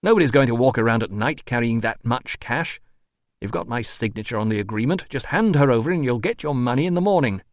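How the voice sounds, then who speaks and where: A man's voice: mid-range voice, animated, fairly quick, no room sound, studio quality, close to an everyday voice. A man voicing an insistent army doctor in an audiobook, with a clipped, authoritative manner. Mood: firm, irritated. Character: urgent, commanding male army doctor. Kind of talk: audiobook character voice.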